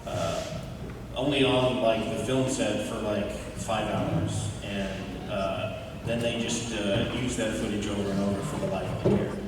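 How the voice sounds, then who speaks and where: A man's voice: on the low side, fairly even intonation, moderate pace, noisy background. Man with dry humor in an echoing hall. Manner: dry joking. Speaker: panel guest, actor. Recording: echoey hall from far off.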